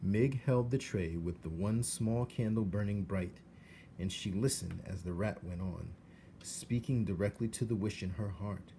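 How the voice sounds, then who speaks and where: Slow, mellow man reading a storybook. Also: fairly low pitch, a little hiss or hum. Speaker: storybook narrator. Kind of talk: expressive read-aloud narration.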